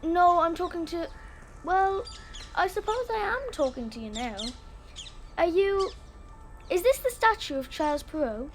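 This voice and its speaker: A woman's voice: very high-pitched, animated, unhurried, long pauses, slight background noise, exaggerated voice. An actress playing an eager, bookish young schoolgirl in a children's audio drama. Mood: friendly, keen. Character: eager, bookish young schoolgirl who loves fairy tales.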